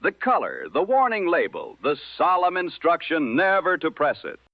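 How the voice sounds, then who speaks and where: A man playing a wry, musing 1960s radio host with measured, theatrical phrasing on a dull vintage broadcast. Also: on the high side, huge pitch swings, dry recording. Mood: smooth announcing. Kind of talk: scripted 1960s radio show banter.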